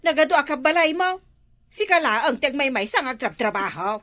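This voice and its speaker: A woman plays an excitable Ilocano complainer in a household comedy drama. The voice is very high and bright, jumping around with lots of animation. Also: moderate pace. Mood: grumbling. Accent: Ilocano Filipino.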